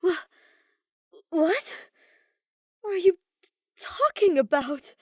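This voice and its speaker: A woman's voice: very high voice, sing-song, drawn-out words, no echo, clean studio sound, voice pushed up, full character voice. A woman voicing a taunting young male schemer in a theatrical, mocking manner. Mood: confused, shaky.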